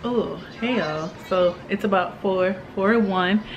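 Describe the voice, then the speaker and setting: A woman's voice: medium pitch, a little slow, over music. A young woman vlogging casually at home, chatting informally and unhurriedly to her viewers in a kitchen. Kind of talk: casual vlog chat. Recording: noisy room ambience.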